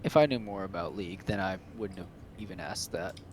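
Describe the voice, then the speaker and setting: A man's voice: low-pitched, brisk, crowd noise. A man chatting casually as a contestant in a podcast quiz among friends. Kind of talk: casual banter. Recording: clear background noise.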